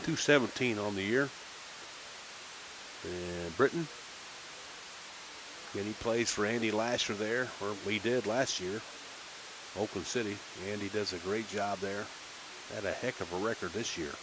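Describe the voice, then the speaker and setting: A man calling a minor league baseball game on local radio, chatting casually between pitches. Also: measured pace, pauses often, background noise. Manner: relaxed folksy chatter between pitches, rising excitement on big plays. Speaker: play-by-play announcer.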